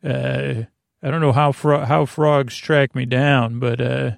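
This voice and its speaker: A man delivers a loose, wandering bedtime podcast monologue in a noticeably creaky, fry-laden voice. He stops, restarts and drifts into gentle absurdity with mild humor. The sound is close and very dry, with hardly any room around it. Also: medium pitch, flat delivery, no echo. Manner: meandering, halting, self-interrupting. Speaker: podcast host.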